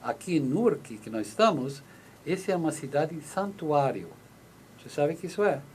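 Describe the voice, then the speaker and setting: A man's voice: a little high, lively intonation, moderate pace, a little mellow, slight background noise. A man, a lawyer on a radio call-in show, explaining patiently and acting out short exchanges, with many restarts. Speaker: lawyer answering listener questions. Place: radio studio in the US.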